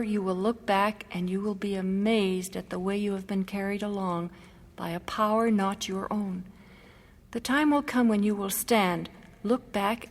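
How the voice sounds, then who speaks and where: A tired yet determined missionary mother in an audio drama. She is earnest and plain-spoken, in a mid-pitched female voice. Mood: reflective, earnest. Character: weary but determined missionary mother back from China.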